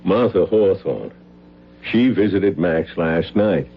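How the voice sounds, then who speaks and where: A man's voice: low voice, animated, slow pace, slight background noise, exaggerated voice. A man acting a shaken, suspicious friend of a dead man in an old-time radio drama. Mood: agitated, suspicious. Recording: dull old radio recording.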